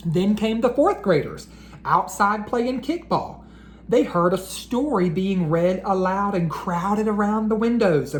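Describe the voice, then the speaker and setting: A woman reading a children's picture book aloud in an expressive, unhurried storyteller's voice. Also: mid-range voice, reverberant, slight background noise.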